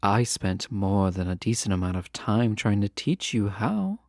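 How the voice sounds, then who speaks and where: A man playing a refined, guarded yet fond fantasy ruler in a romantic audio roleplay, speaking in formal, measured phrases with teasing asides. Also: on the low side, very animated, darkish tone, no echo, clean studio sound.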